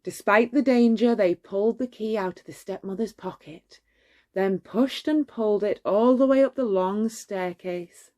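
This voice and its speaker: A woman narrating a children's bedtime story in a measured, expressive storytelling manner. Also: mid-range voice, dull audio. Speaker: storybook reader.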